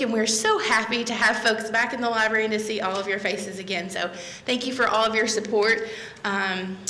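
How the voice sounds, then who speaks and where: A woman's voice: a little high, pushed and bright. A woman in her thirties, a library manager, welcoming an audience and reading a speaker's introduction at a podium, friendly with some fillers. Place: library meeting room. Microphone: podium microphone. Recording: echoey room.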